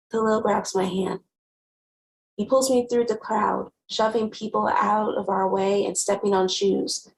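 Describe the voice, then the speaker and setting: A woman playing a wry, guarded, sharp-tongued teenage girl who narrates her own story conversationally in a dramatic novel reading. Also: mid-range voice, creaky, a little hiss or hum, rough recording. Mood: tense. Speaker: actor.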